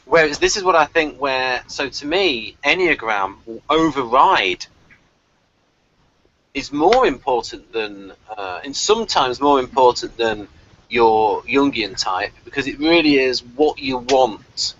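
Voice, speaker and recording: A man's voice: medium pitch, expressive, measured pace, a bit bright, slight background noise. A man in a casual chat who explains ideas eagerly. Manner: explanatory, enthusiastic. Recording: dull lo-fi audio.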